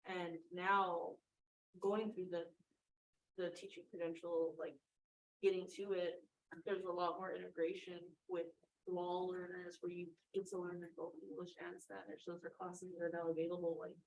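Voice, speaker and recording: A woman's voice: fairly low pitch, flat delivery, moderate pace, creaky, low-quality recording. A woman student teacher giving a casual personal reflection in class, informal, with short emphatic phrases. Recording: faintly hissy classroom recording.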